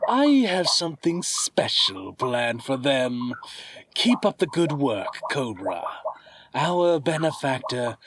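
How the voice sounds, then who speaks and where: Slow, scheming villain lord. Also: male voice, mid-range voice, very animated, fairly bright tone, slight background noise, heavily acted. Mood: gloating. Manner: measured, deliberate, gloating. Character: scheming, amused villain lord giving orders. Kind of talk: fantasy audio drama acting.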